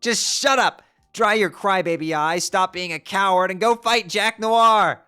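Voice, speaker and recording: A performer voicing a fierce teenage girl who scolds and yells in exasperation. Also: medium pitch, sing-song, a little slow, faint music behind, full character voice. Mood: furious.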